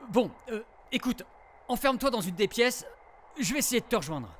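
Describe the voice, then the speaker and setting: An actor playing a tense, protective forest ranger in a naturalistic audio drama, urgent and stammering. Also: male voice, very high-pitched, very animated, normal speed, fairly bright tone, exaggerated voice. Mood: urgent, reassuring. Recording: background noise under the voice.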